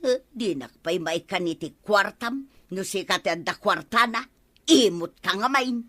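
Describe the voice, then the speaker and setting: Bright, lively nagging wife. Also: female voice, medium pitch. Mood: scolding. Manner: nagging, quick retorts. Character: sharp-tongued working wife, scolding her husband. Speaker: radio drama actress. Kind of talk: comic radio drama acting.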